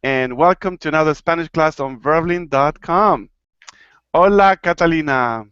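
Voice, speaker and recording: A man from Argentina teaching an online Spanish class, playful and clear, slowing down to model phrases. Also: fairly high pitch, a bit bright, dry recording, muffled recording. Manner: playful, laughing, slow and clear when teaching. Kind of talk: live online language class.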